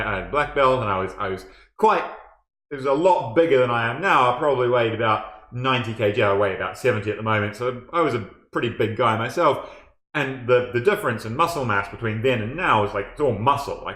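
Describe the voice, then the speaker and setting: A man's voice: mid-range voice, a bit bright. A man with a New Zealand accent telling a story to his viewers in a laid-back, self-mocking way. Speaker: storyteller and channel host. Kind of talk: casual spoken storytelling. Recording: echoey room.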